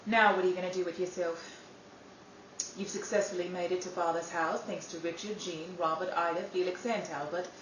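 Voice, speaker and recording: A woman's voice: on the low side, fairly even intonation, brisk, close to an everyday voice. Woman acting a scheming estranged daughter in an echoey room. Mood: brooding. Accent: English. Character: bitter, scheming estranged daughter, plotting against her blind father. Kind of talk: theatrical audio drama acting. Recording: echoey, noisy room, distant mic.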